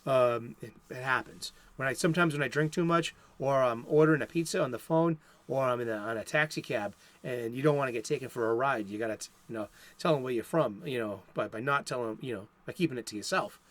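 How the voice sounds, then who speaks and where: A man's voice: medium pitch, fast talker, few pauses. A man with a Boston accent hosting a casual solo comic book talk show, rambling with many fillers and restarts. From Boston area, USA. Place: home studio.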